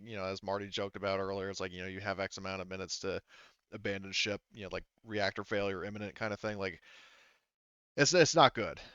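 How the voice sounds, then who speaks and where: A man's voice: on the low side, fairly bright tone, clear, resonant tone, no room sound. A man improvising tabletop game narration as game master, speaking casually in rambling, looping phrases over a muffled connection. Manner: rambling, repeats "like" and "you know".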